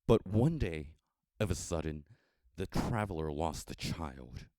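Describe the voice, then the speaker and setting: An American man narrating a story from a script in a measured, expressive storytelling manner with dramatic emphasis. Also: low voice, no room sound, studio quality. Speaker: audiobook narrator.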